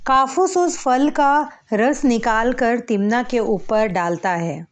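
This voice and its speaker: A woman's voice: fairly high pitch, slow pace. A woman narrating a scripted children's story as a storyteller.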